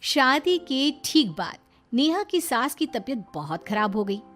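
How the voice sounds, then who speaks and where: Casting: female storyteller with high voice, scripted moral-tale narration over faint music. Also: very animated, unhurried, fairly bright tone.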